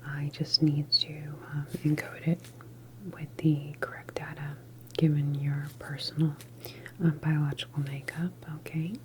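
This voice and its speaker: A woman playing a calm, reassuring government doctor in a sci-fi ASMR roleplay, explaining things gently in a soft whisper. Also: deep voice, unhurried, a little hiss or hum, poor audio. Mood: calm, focused.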